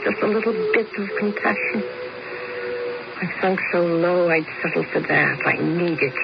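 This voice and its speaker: An actress playing a lonely, manipulative woman plotting with a hired killer in a 1970s radio drama, smooth and coaxing. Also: fairly low pitch, normal speed. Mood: despairing. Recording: hissy lo-fi old radio recording.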